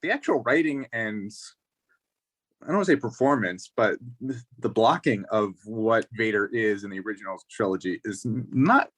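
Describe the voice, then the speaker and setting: A man's voice: fairly low pitch, animated, moderate pace, a bit bright. A man talking casually as a podcast panelist, working through his points in a hesitant, circling, analytical way. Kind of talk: casual discussion of a TV series.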